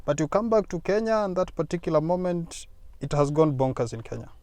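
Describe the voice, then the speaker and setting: Male interviewer with a smooth, dry voice. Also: on the high side, lively intonation, a little fast, a little mellow, dry recording. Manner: long, winding questions. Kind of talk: conversational interview questions.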